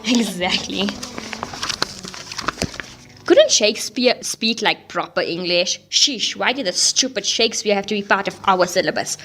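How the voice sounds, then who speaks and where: A woman's voice: medium pitch, unhurried, background noise, lightly acted. A woman playing a slangy, self-doubting teenage schoolgirl in an audio drama. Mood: casual, grumbling.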